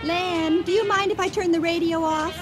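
An actress in a radio drama playing a nervous, superstitious wife who is fearful and pleading. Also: female voice, very high-pitched, steady tone, normal speed. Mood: concerned. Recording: 1980 radio drama with prominent music underneath.

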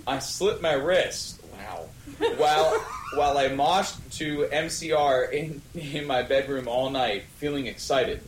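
A man's voice: fairly high pitch, measured pace. A man co-hosting a podcast, reading fan fiction aloud in a mock-dramatic way and exclaiming the dialogue. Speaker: podcast co-host. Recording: roomy sound with faint noise.

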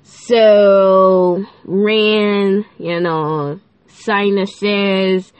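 A woman's voice: medium pitch, fairly even intonation, extremely slow, clear, resonant tone, no echo. Bubbly nasal teenage girl podcaster. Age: teens. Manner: bubbly, chatty, playful, many fillers. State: stuffy, nasal from sinuses. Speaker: podcast host. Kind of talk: casual faith podcast chat.

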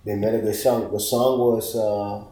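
A man with a Miami accent in casual chat, joking with a slow, drawn-out delivery. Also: lowish voice, little pitch movement, dark tone, roomy sound, rough recording.

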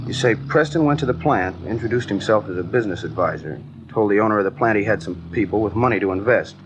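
Low-voiced, inquisitive actor as detective partner. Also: male voice, fast talker, natural voice. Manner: casual, clipped questioning. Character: inquisitive, earnest male rookie detective partner. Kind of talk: 1950s radio drama acting. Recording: noisy 1950s radio recording, ambience.

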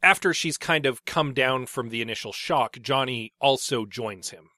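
A man's voice: medium pitch, normal speed, no echo. A man co-hosting a casual book podcast, a little phlegmy from allergies, recapping the chapter with dry humor. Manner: recapping chapters in a relaxed, wry way. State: congested with allergies. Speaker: podcast co-host.

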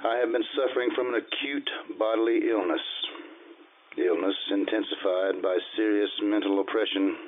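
A man playing a solemn, formal gentleman narrator in a 1940s radio drama, with tinny old radio sound. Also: fairly low pitch, natural voice. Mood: pleading, grave. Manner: formal, measured.

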